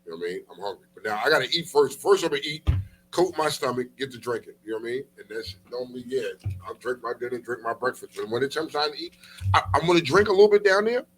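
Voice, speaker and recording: A man co-hosting a casual talk show with profane, slangy, teasing banter, animated and repeating himself for emphasis. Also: mid-range voice, fast talker, a little hiss or hum, low-quality recording.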